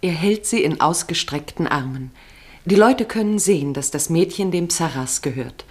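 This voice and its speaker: A woman giving measured, literary narration for a radio drama. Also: low voice, expressive. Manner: measured, descriptive.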